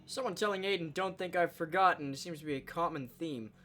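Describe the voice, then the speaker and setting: A man's voice: a little high, brisk, sharp tone, slight background noise. A man giving casual let's play commentary in an offhand, dryly wry way. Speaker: gameplay video creator. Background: game music.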